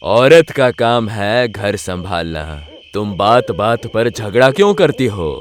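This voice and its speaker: A man playing a dismissive, scolding village headman in an animated story. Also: on the low side, slow pace, a little hiss or hum.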